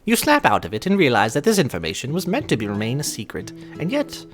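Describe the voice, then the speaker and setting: Very animated young man narrating a game. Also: medium pitch, fast talker, soft background music. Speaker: gaming channel host. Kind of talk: casual let's-play narration and banter.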